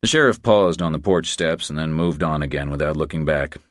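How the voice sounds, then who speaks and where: A man's voice: a bass voice, fairly quick, dry recording, studio quality. A man reading scripted crime thriller narration as an audiobook narrator.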